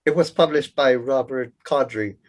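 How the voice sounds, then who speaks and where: A man's voice: mid-range voice, normal speed, close to an everyday voice. A man playing a competitive young student in a radio play, explaining things to show off his knowledge. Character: competitive know-it-all young male student rival in love.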